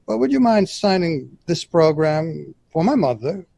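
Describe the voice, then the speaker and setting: A man's voice: a little high, sing-song, measured pace, gravelly, dark tone, dry recording, exaggerated voice. A man playing an eager, polished and deferential radio interviewer in an audio drama set in the sixties. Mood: admiring. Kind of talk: 1960s radio interview acting.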